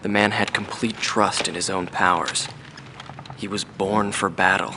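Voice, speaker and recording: A man playing a weary, reflective veteran mercenary pilot in a video game, recalling the past in a measured, wistful interview monologue. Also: low-pitched, steady tone, background noise. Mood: quiet admiration. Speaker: former mercenary fighter pilot being interviewed.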